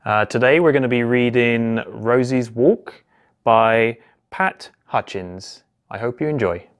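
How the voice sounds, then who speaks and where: A man in his thirties reads a picture-book story aloud for small children. His voice sits at a medium pitch. He narrates in simple phrases, with expressive exclamations and questions put to the young listeners. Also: slow, clear, resonant tone. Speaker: police constable reading a children's story. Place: small indoor office or home room.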